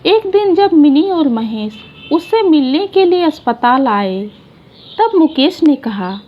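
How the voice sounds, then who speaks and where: A woman narrating a short moral story in an unhurried, gentle reading style. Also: high voice, very animated, dull audio.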